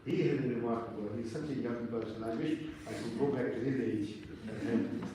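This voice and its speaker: A man's voice: medium pitch, normal speed, dark tone. A man from India giving a formal introduction speech at an event, with chatty, self-deprecating joking asides. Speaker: event speaker introducing the host. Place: large hall with audience. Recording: echoey hall, distant mic, faint noise.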